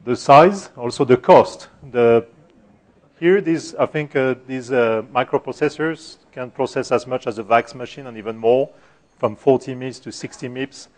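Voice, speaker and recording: A soft-spoken middle-aged man with a French accent gives a technical talk at a conference. His pitch sits in an ordinary middle range, and he pauses often, with frequent fillers and restarts. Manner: halting, many fillers and restarts. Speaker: conference speaker. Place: conference room.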